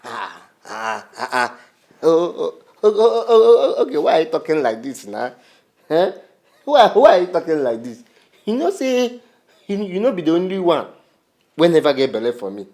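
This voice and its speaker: A flustered Nigerian chief. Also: male voice, fairly high pitch, big pitch swings, normal speed, gravelly, a little mellow. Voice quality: Pidgin-speaking, flustered and evasive. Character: polygamous Nigerian chief, husband of several wives. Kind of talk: film drama.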